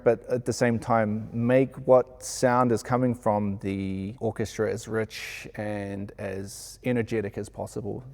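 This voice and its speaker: A man with a New Zealand accent reflecting in an interview in an earnest, measured way. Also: on the low side, gravelly, a little mellow. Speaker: sound engineer being interviewed.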